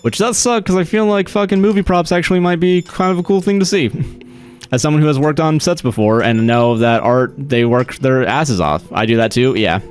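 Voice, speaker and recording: A man's voice: fairly high pitch, moderate pace, soft background music. A man streaming a game, chatting casually with his viewers, swearing freely and laughing often. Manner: profane, laughs often, chatty asides to chat. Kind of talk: casual live-stream chat while gaming.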